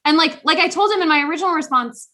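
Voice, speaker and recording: A woman's voice: high-pitched, rapid-fire. A young woman co-hosting a casual podcast chat, telling a long story with lots of restarts and fillers. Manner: rambling, animated storytelling with many restarts. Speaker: podcast co-host.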